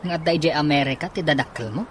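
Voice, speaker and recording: A man's voice: a little high, racing, fairly bright tone, slight background noise, close to an everyday voice. An actor playing a supportive young male friend, consoling and upbeat, in Ilocano-accented radio drama style.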